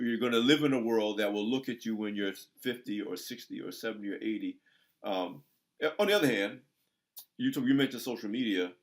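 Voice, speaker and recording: A man in his sixties hosting an interview, talking in an emphatic, preacher-like way as he encourages his listeners. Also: fairly low pitch. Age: 60s. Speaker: interview host. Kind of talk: conversational interview.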